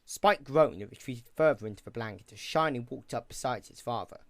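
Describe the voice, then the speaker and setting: A male narrator reads a scripted story. His voice is mid-pitched and the recording is close and dry. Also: fairly quick, no echo.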